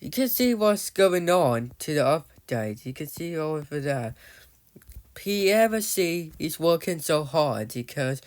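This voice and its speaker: A man's voice: fairly high pitch, very animated, takes it slowly. A man giving casual, rambling commentary on his game, often losing his thread and calling out to viewers as "guys". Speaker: gamer narrating his play.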